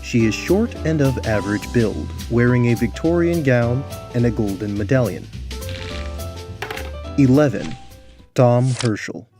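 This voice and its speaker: A man narrating a scripted tabletop fantasy adventure in a deliberate, theatrical way. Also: mid-range voice, music in the background. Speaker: narrator and game host.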